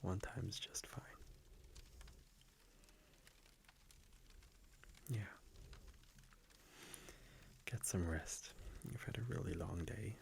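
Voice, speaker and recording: A man playing a tender, teasing vampire boyfriend in an intimate ASMR audio roleplay, soft-spoken and breathy, with slow, lingering pauses. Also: sits low. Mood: tender, sleepy. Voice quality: breathy, whispery.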